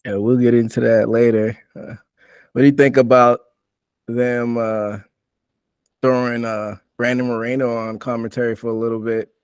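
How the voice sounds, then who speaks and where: A man's voice: medium pitch, flat delivery, moderate pace, smooth, no room sound. Casual male podcast host, close dry sound. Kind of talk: casual sports recap talk.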